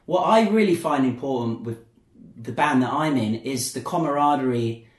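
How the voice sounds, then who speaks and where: Chatty host in an echoey room. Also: male voice, mid-range voice, expressive, moderate pace. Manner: rambling, many ums and restarts. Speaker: podcast host. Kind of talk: casual chat. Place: indoor studio.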